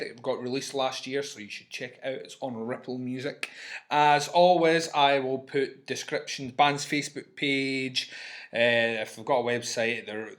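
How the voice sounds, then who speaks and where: A young man with a Scottish accent talks straight into his webcam from a small room at home. He reviews an album in a loose, chatty way and swears casually as he goes. His pitch sits in an ordinary middle range. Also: fairly bright tone. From Scotland. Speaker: music reviewer.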